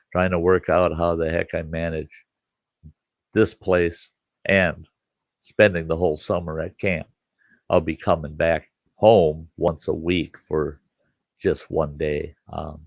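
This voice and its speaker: Deep gravelly older man, congested. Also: no echo. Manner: relaxed, rambling, chuckles often, greets viewers by name. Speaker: livestream host. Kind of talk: casual live chat with viewers.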